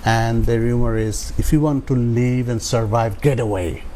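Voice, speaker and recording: A man in his sixties with a Bangladeshi accent tells his own story as an interview guest, haltingly, with filled pauses. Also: mid-range voice, steady tone, dark tone, a little hiss or hum. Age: 60s.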